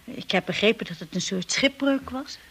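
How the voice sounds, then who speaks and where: An actress playing an anxious, timid young wife in a radio drama, earnest and breathy. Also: female voice, on the high side, moderate pace, a bit bright. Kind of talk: radio drama acting with narration. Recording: hissy old radio play recording.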